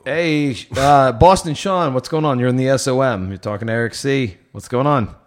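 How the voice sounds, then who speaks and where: A man's voice: medium pitch, normal speed. A man hosting a podcast with casual, teasing sports banter. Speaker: podcast host.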